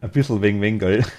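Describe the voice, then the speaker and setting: A man's voice: on the low side, dark tone, a little hiss or hum. A man with a Bavarian accent chatting casually and enthusiastically while streaming a game, laughing easily.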